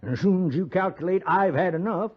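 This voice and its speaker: An actor playing a wheedling, boozy frontier husband with a rambling, stammering American drawl, in 1950s radio Western style. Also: male voice, high voice, fairly quick, breathy edge. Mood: wheedling, insistent. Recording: muffled 1950s radio recording.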